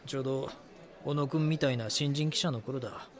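A man playing a calm, measured chief editor mentoring a junior in a Japanese voice drama. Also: mid-range voice, close to an everyday voice. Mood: reflective. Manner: instructive, composed.

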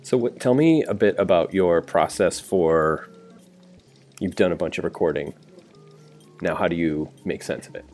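A man's voice: low-pitched, moderate pace, long pauses, a little mellow, soft background music. A man asking questions casually as the host of an interview podcast.

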